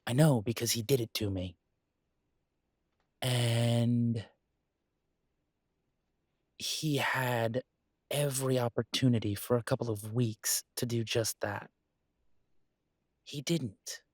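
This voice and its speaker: A man acting as an earnest, protective werewolf in an intimate roleplay audio, speaking tenderly to a partner with halting pauses. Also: medium pitch, no room sound, clean studio sound. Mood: serious, thoughtful.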